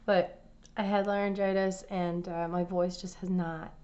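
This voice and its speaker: A woman hosting a cross-stitch vlog, her voice raspy from laryngitis, chatting casually with many fillers and restarts. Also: fairly low pitch, flat delivery, unhurried, a little mellow, lo-fi sound. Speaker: cross-stitch channel host.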